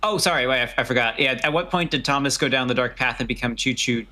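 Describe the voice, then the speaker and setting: A man hosting a casual livestream chat, speaking haltingly and often repeating his phrases. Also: mid-range voice, sharp tone. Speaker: livestream host. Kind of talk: casual banter.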